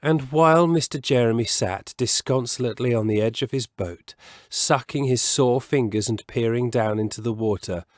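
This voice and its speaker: A man telling a children's story as a scripted, expressive reading, measured and savouring the descriptive words. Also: lowish voice, dry recording, studio quality.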